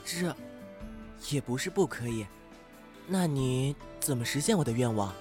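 A young performer playing a timid, excitable half-demon who is hesitant and easily flustered, in an audio drama. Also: mid-range voice, measured pace, soft background music, close to an everyday voice.

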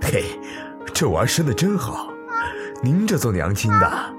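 A man's voice: medium pitch, wildly swooping pitch, measured pace, music in the background. A man playing a kindly, folksy elderly shopkeeper in a Chinese period audio drama. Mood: chatty, admiring. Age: older. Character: kindly elderly male shopkeeper, admiring a child.

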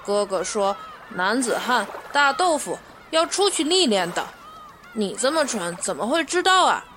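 A woman's voice: on the high side, sing-song, unhurried, fairly bright tone, a little hiss or hum. A woman voicing a haughty little merman boy with a cocky, dismissive manner in a Chinese costume radio drama. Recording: music behind the voice.

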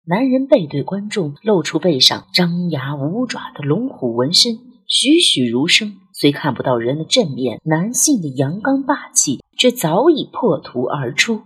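A woman with a low, very breathy voice narrates a literary ghost romance. Her scripted delivery is dramatic and expressive, moving widely in pitch as she tells the story. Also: measured pace, hardly any pauses. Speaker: audiobook narrator.

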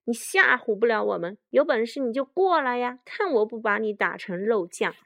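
A woman voicing a hot-headed, defiant young rooster in a children's story, shouting angry taunts. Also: fairly high pitch, moderate pace, dry recording. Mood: defiant, taunting.

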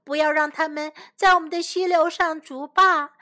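A woman's voice: high voice, steady tone, normal speed, sharp tone. A woman voicing frightened little wild animals in a children's story, pleading and exclaiming for help. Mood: panicked pleading.

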